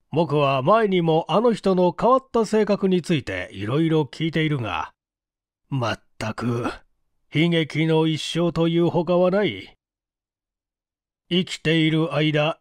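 A man acting a slow, weary police chief. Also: a little high, no room sound, studio quality. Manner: slow, heavy, ponderous delivery. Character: weary, brooding male police chief, sighing and gloomy. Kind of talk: audiobook character dialogue.

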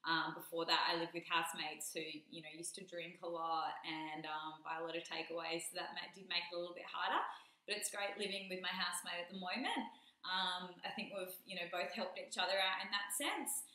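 A woman's voice: fairly low pitch, fairly even intonation, brisk, sharp tone. A young woman in her twenties, a personal trainer, talking casually through a kitchen tour with lots of "um" and "you know" fillers. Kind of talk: casual vlog walkthrough. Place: small home kitchen indoors. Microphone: camera mic a few metres away. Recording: echoey room audio from a distant camera.

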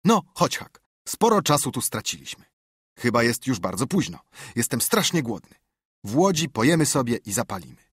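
A man voicing an eager, bossy boy adventurer who talks with raised, breathless enthusiasm and a husky tone. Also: medium pitch, sing-song, moderate pace, a bit bright, dry recording, clean studio sound.

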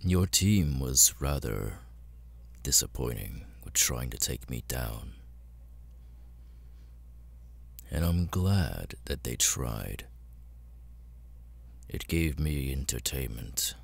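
A man voicing a cold, arrogant immortal demon lord in an ASMR roleplay, speaking with deliberate, condescending menace. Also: animated, heavily acted. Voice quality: very deep, gravelly, husky. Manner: slow, deliberate, condescending, with long pauses.

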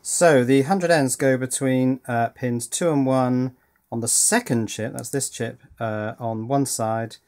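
A man's voice: medium pitch, steady tone, unhurried, darkish tone. British man thinking aloud, casual and hesitant. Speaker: electronics hobbyist presenter. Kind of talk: casual hands-on project narration. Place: indoors at a workbench.

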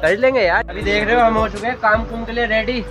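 A young man with a very high voice chats to his phone camera outdoors, teasing friends and laughing as he talks. Loud music plays under him. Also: steady tone, fast. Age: young adult. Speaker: village vlogger. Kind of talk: casual vlog chat to camera. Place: outdoors in a village and on a moving bike. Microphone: phone held at arm's length.

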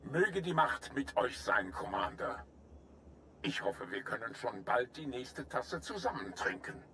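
Game role: grave alien general, bright commanding tone, formal and courteous, noisy ambience. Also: male voice, mid-range voice, lively intonation. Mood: earnest. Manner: formal, measured, earnest. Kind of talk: German video game voice acting.

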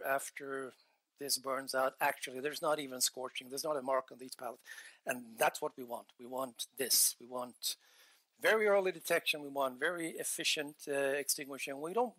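A man's voice: mid-range voice, pushed and bright. A man in his forties giving an earnest technical talk on a headset mic in a large conference hall, gesturing as he speaks and often restarting his phrases. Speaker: conference presenter.

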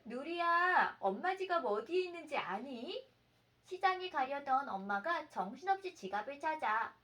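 A woman in her thirties, a mother reading a children's story aloud and asking her child questions in an expressive, sing-song, explanatory way, with faint room noise behind her. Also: high-pitched, normal speed.

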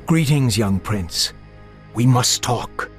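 An actor playing a mysterious, solemn prophet who speaks in portentous warnings in a video game. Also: male voice, mid-range voice, animated, takes it slowly, faint music behind. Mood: grave warning. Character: grave, mysterious male prophet warning of doom. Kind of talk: video game cutscene acting.

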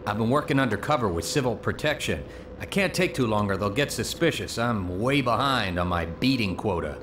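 An actor playing a friendly, wry undercover guard in a video game, talking informally. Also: male voice, lowish voice, lively intonation, fairly quick, noisy background, natural voice. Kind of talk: casual video game dialogue.

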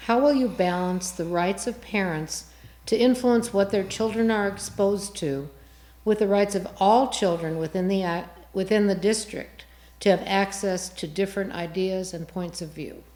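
A woman serves as forum moderator, reading questions in a formal way. Her pitch is average for a woman, and the room adds a noticeable echo around her voice. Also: moderate pace, warm tone. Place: public access TV studio. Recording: roomy studio echo.

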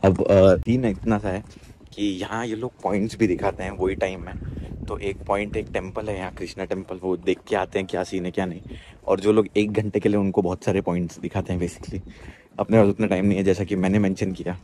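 A young man with a fairly low voice chats excitedly to a handheld camera on a travel vlog, slipping English words into his Hindi. He is outdoors on a river, and faint ambient hiss sits under his voice. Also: brisk. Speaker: travel vlogger. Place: outdoors by a river and in a small round boat.

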